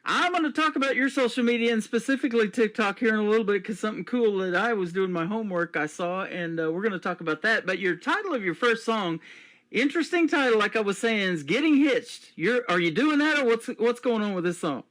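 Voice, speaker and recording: Chatty high-voiced male radio host. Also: lively intonation, fast talker, a bit bright. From United States. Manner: chatty, enthusiastic, frequent fillers. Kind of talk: casual radio interview.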